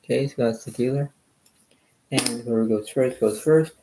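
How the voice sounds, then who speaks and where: A soft-spoken man, a little hoarse, hosting a card game and rambling in repeated filler phrases. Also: mid-range voice, normal speed, background noise. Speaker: channel host playing cards. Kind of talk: casual rambling talk to camera.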